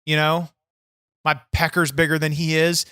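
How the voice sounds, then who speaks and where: A man's voice: on the high side, little pitch movement, moderate pace, bright, forceful tone, clear, resonant tone, no room sound, studio quality. A man doing a brash, foul-mouthed wrestler. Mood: boastful. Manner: boastful, crude, easily irritated, swears a lot. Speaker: podcast co-host playing a wrestler persona. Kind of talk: improvised comedy podcast chat.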